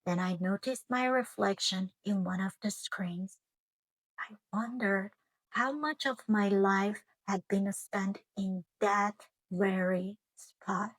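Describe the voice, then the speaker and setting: Woman as cheerful storybook potato, earnest, expressive, unhurried pace, dry close sound. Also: medium pitch, no room sound. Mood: reflective. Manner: expressive, drawn-out storybook delivery.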